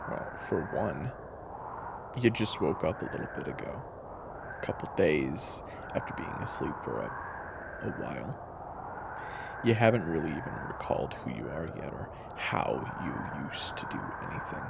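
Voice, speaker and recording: A man's voice: very deep voice, sing-song, normal speed, creaky, very noisy, heavily acted. A man playing a brooding, grumpy but caring hunter in an audio roleplay, speaking in a wry, exasperated, conversational way. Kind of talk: intimate audio roleplay acting and storytelling.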